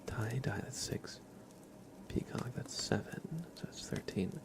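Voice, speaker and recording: A soft-spoken man hosting an ASMR livestream chat, hesitant and often slipping into whispers. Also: lowish voice, a little slow, creaky. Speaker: livestream host.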